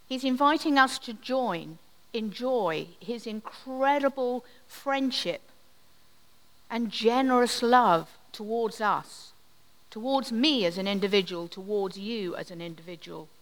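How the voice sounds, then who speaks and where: A woman leading a communion service, giving a gentle, reflective sermon with measured pauses. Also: fairly high pitch. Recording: long hall reverb.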